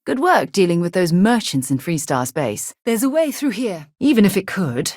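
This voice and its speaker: Game casting: principled female expedition leader and scientist. Low, husky register, composed and articulate, earnest delivery, dry studio sound. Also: sing-song, normal speed, a little mellow, no room sound. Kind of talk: video game companion dialogue.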